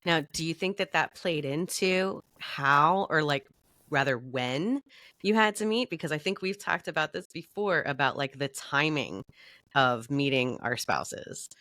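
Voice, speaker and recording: A woman's voice: deep voice, animated, measured pace, pushed and bright, no room sound. A woman hosting a podcast, chatting casually and informally with her guest and often dropping in "like". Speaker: podcast host. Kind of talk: casual interview chat.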